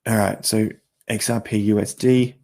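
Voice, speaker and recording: Man, hoarse, gravelly, low-pitched, live-stream chart talk, clears his throat a lot. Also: level tone, normal speed, a little mellow. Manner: throat clearing, rambling explanations. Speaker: crypto live stream host.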